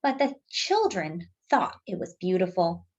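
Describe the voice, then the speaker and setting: A woman reading a picture book aloud to young children as a storytime host, expressive and speaking directly to her listeners. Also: lowish voice, unhurried, dull audio.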